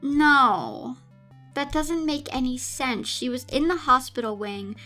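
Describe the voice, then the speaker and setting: A woman's voice: sits high, lively intonation, slow pace, bright, forceful tone, soft background music. A woman hosting a casual story reading, giving dramatic, exasperated and sarcastic running commentary. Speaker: host reading fan fiction aloud.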